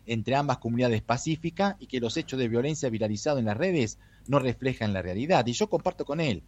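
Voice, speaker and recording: A man's voice: medium pitch, lively intonation, a little mellow. A man from Argentina whose Argentine Spanish carries Italian touches. He reports as a correspondent in Europe, explaining at length and tagging his points with rhetorical questions. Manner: measured, explanatory, tags sentences with rhetorical checks. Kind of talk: phone-in radio commentary.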